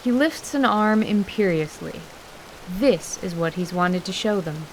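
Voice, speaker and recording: A woman reads scripted fantasy as a narrator, in a mid-pitched voice. Her delivery is measured and literary. A faint hiss sits behind her. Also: expressive, rough recording.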